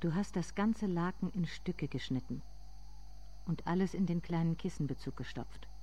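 An actor performing a literary radio drama monologue as a haunted inner narrator, speaking in deliberate, intense fragments. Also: medium pitch, warm tone, natural voice. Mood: brooding, restrained.

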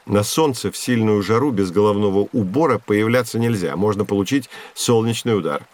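A man playing a knowledgeable, calm guide who instructs children in a scripted educational audio drama. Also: lowish voice, fairly quick, natural voice. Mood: calm, explaining. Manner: didactic, instructive.

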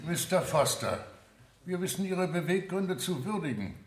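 An actor playing a stern male judge, speaking formally and with measured authority in a German radio play. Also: sits high, very animated. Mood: formal, admonishing. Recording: echoey studio courtroom, older radio production.

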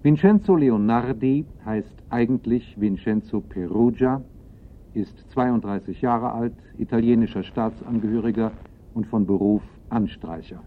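A man's voice: mid-range voice, a little slow, a little hiss or hum, natural voice. A man narrating a criminal case in a radio play, in a sober, formal, factual manner. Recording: muffled, narrow-band old radio recording.

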